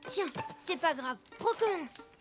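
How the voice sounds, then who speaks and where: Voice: extremely high pitch, expressive, unhurried, fairly bright tone, faint music behind, exaggerated voice. A performer voicing an eager, earnest young child in a children's cartoon, a patient and supportive friend. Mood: encouraging. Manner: encouraging, earnest. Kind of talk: French children's cartoon acting.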